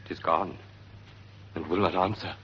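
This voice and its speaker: An actor playing an earnest, watchful soldier in 1940s British radio Shakespeare, delivering verse in a formal theatrical way. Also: male voice, low voice, fairly even intonation, normal speed, sharp tone, breathy edge, full character voice. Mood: urgent, hushed. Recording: muffled, noisy 1940s radio recording.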